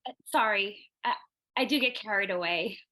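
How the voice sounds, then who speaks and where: A woman's voice: medium pitch, moderate pace, pushed and bright, natural voice, poor audio. A woman acting a spirited, hopeful young 1940s woman in a live screenplay read.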